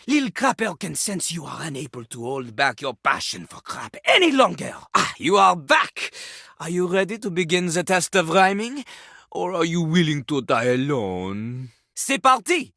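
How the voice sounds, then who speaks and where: A man's voice: sits high, very animated, measured pace, vocal fry, sharp tone, no room sound, clean studio sound, heavily acted. A man voicing a boastful, theatrical French rapper in a video game, speaking with a French accent and exaggerated pomp, referring to himself in the third person. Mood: impatient, dramatic. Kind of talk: exaggerated cartoonish video game character acting.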